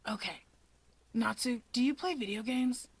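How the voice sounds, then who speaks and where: A woman voicing an awkward, guarded young man in a fan story, speaking quietly and hesitantly. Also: fairly high pitch, a little slow, breathy edge, no room sound. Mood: quiet, tentative.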